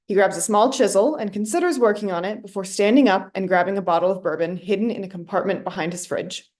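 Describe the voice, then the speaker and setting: A woman's voice: mid-range voice, quick pace, a little mellow. A woman reading stage directions as scripted narration for a play reading. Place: remote online staged reading.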